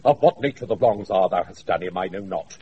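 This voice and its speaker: An actor playing a boisterous, scheming old British knight in a radio play, grandiose and blustering with drawn-out, mock-menacing words. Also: male voice, sits low, animated, mellow, lo-fi sound. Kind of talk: classical radio play acting. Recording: noisy off-air radio recording.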